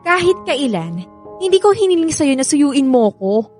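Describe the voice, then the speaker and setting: A woman's voice: sits high, very animated, moderate pace, darkish tone. An actress playing a firm, hurt young woman rejecting her ex in a Filipino radio drama, emphatic and exasperated. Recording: music bed behind the voice.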